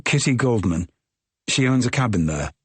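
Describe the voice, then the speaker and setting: A man's voice: mid-range voice, expressive, normal speed, husky, dry recording, voice pushed up. A man voicing a weary first-person traveller who speaks politely and insistently.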